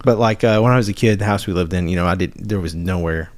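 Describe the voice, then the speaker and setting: A man with a fairly low voice chats in an easygoing, halting way, often restarting his sentences. The recording is dry and close. He sounds like a regular co-host on a relaxed talk show. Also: no echo. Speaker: podcast co-host. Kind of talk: casual porch chat.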